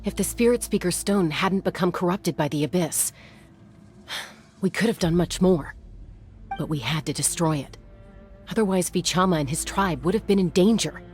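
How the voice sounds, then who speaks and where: A woman voicing a calm, knowing, serious mystic guide in a video game, measured and explanatory. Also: on the low side, soft background music, natural voice. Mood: apologetic.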